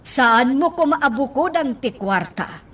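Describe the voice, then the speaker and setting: A Filipino woman playing an excitable, nervous, wheedling wife in a radio drama, with theatrical exclamations. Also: high voice, unhurried, far from the mic, slight background noise. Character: excitable, nervous, pleading wealthy wife learning to drive.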